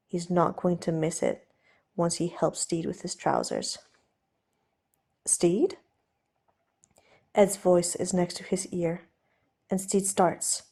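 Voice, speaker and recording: A woman's voice: on the low side, warm tone. A woman reading scripted fiction aloud as an audiobook narrator.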